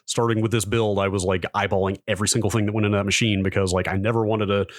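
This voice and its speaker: A man with a slightly low voice co-hosts a podcast at a brisk clip. He tells casual anecdotes in a geeky, emphatic way. The sound is dry, with no room on it. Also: level tone, dry recording. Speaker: podcast co-host. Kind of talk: casual chat, recounting anecdotes.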